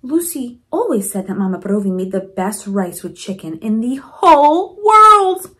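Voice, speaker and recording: A woman reading a storybook aloud to children in an expressive, encouraging way, with teaching asides. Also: a little high, measured pace. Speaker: principal reading a picture book to children.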